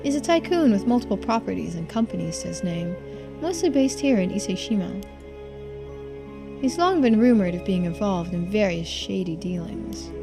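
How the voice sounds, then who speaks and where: A woman voicing a poised, unflappable female investigator in a video game, polite and gently needling in measured, formal phrases. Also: mid-range voice, expressive, vocal fry, over music. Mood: matter-of-fact. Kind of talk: game character voiced in a playthrough.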